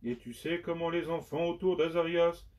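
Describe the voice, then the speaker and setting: A man giving a slow, solemn liturgical recitation of penitential prayers in measured, prayerful phrases. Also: a little high, a little mellow. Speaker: liturgical reader. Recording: dull sound from far off the mic.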